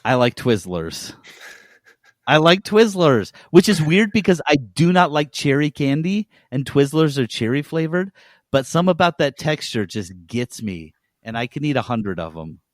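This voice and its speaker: A man co-hosting a podcast, telling things in an animated, emphatic way amid casual banter. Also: fairly high pitch, moderate pace, dry recording, studio quality. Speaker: podcast co-host.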